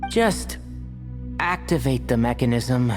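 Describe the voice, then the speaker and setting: A practical young botanist from a video game, with a low female voice and lively inflection over music. The delivery is dry and matter-of-fact. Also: slow pace, heavily acted. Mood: focused, curious.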